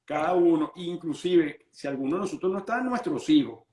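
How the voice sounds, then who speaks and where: A Venezuelan-born lawyer explaining estate planning in an interview, patient and full of restarts. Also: male voice, fairly high pitch, expressive, a little fast, dark tone, echoey room. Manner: explains patiently, many restarts and repeated words.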